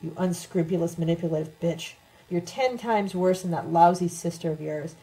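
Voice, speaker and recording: A woman voicing a drunk, bitter older woman in an audiobook, taunting and loudly accusing. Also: on the low side, moderate pace, close to an everyday voice, low-quality recording. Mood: hateful. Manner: shouting, sneering, accusatory.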